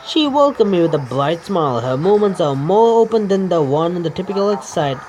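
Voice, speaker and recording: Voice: mid-range voice, sing-song, brisk, noisy background. A game streamer reading a visual novel aloud with casual asides, stumbling over words, correcting misreadings and swearing. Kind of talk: let's play narration with casual asides.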